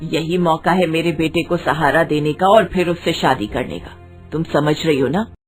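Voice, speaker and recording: A woman's voice: sits low, moderate pace, soft background music, lightly acted. A woman voicing a scheming middle-aged mother who talks in a conspiratorial, insistent way.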